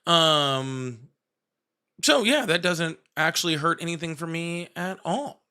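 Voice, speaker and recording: A man's voice: fairly high pitch, animated, slow, pushed and bright, smooth. A man in his thirties hosting a live call-in stream, blunt and joking as he challenges callers. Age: 30s. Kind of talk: casual live call-in chat.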